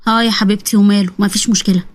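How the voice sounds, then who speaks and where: A woman's voice: mid-range voice, fairly even intonation, racing, voice pushed down, lightly acted. A woman voicing a caring middle-aged mother on the phone with an Egyptian Arabic accent, coaxing and anxious.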